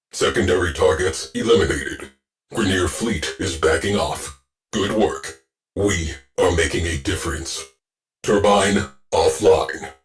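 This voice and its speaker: A deep, clipped ship AI. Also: male voice. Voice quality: clipped, deadpan military delivery. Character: stern male ship AI officer, terse, dry. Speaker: ship's AI officer. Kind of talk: video game voice lines.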